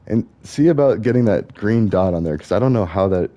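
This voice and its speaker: A young man with a deep, mellow voice talks on and on while playing a game. His thoughts wander from one tangent to the next in a loose, musing way. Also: animated, brisk. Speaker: gaming vlogger.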